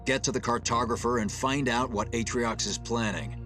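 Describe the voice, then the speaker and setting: An actor voicing a grave, measured starship captain with an authoritative, clipped military delivery in a video game. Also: male voice, mid-range voice, steady tone, natural voice. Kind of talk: video game cutscene acting. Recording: loud music behind the voice.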